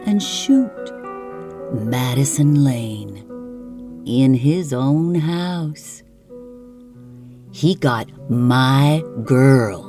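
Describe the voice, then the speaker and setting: A woman's voice: very deep voice, exaggerated voice. A woman reading the part of a cold, grim young male outlaw who speaks in a mild drawl.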